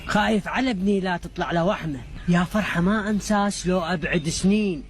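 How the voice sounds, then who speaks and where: A man's voice: sits high, fast, slight background noise. A man voicing a melodramatic, lovesick cartoon suitor with an Iraqi accent, speaking in comic rhyme.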